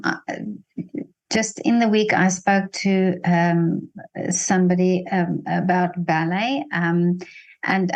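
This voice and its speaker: A woman's voice: mid-range voice, poor audio. A woman interviewing in a casual, conversational way, thoughtful and hesitant as she builds her point. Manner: hesitant, thoughtful, halting phrasing.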